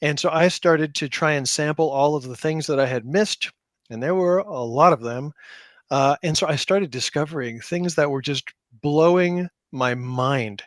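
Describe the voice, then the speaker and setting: Higher-voiced man chatting in a dry room. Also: normal speed, no room sound. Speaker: podcast guest, game creator; high school teacher. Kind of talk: casual interview chat, anecdotal storytelling.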